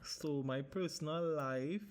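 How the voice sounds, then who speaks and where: Animated Trinidadian man in his thirties. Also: a little high, slow, slight background noise. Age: 30s. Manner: animated, swears freely, switches into Caribbean dialect. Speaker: podcast host. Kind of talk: casual solo podcast monologue.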